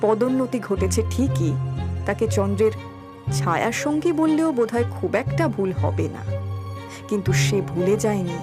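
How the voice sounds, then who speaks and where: A woman narrates a dramatic tale from a script. Her pitch is average for a woman, and music plays clearly behind her. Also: animated, moderate pace.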